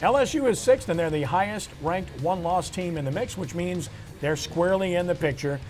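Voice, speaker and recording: A man's voice: high voice, fairly quick, a little mellow, faint music behind. A man hosting a sports talk show, delivering commentary and discussion like an anchor. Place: on location at a restaurant.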